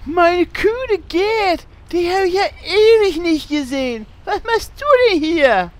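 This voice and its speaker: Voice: very high voice, animated, slow, exaggerated voice. A performer playing Horst's part, a naive, easygoing warrior hero with a hesitant, exclaiming delivery in a German fantasy parody audio drama. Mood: delighted.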